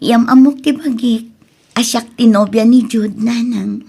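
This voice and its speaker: An actress playing a soft-hearted young woman in love in an Ilocano radio drama, with an Ilocano accent, speaking emotionally and hesitantly in a breathy voice. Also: fairly high pitch, unhurried, exaggerated voice. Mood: quiet, earnest.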